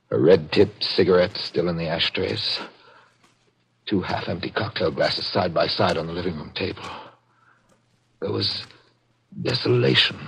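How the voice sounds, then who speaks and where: A man's voice: low voice, vocal fry, breathy edge, heavily acted, low-quality recording. An actor playing an anguished, guilt-ridden man, narrating his crime with dramatic intensity in a 1940s radio mystery. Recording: old radio broadcast, loud background music.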